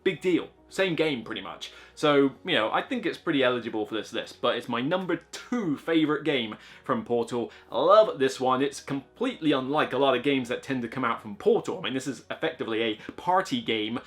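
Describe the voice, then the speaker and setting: A man's voice: mid-range voice, lively intonation, a little fast, fairly bright tone, soft background music. A man reviewing board games in a casual, enthusiastic, rambling way, full of fillers and asides.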